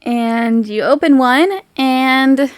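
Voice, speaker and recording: A woman game master with a high voice, casually narrating an improvised tabletop game. The sound is close and dry, with no room on it. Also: very slow, no echo.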